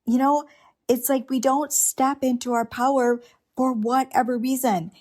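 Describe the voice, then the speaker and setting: Slow-talking earnest woman podcaster. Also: high-pitched, steady tone, darkish tone. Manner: earnest, unscripted, many restarts and rhetorical questions. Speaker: podcast host. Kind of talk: reflective solo podcast monologue.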